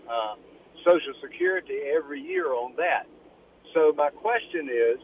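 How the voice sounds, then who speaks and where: A man's voice: fairly high pitch, slight background noise. Caller: man in his 70s, earnest and courteous, tinny phone-line sound. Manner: earnest, polite, says "ma'am". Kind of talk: call-in radio question.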